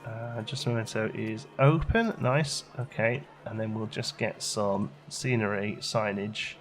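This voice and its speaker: A man's voice: on the low side, unhurried, soft background music. A man giving casual let's play commentary, chatty and thinking aloud as he plays. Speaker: gaming channel host.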